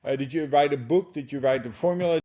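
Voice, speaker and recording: A man with a Dutch accent hosts a business interview podcast. His voice is mellow and relaxed, and he speaks in a halting way, stacking fillers and restarts as he works toward his questions. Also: mid-range voice. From Netherlands. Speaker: podcast host.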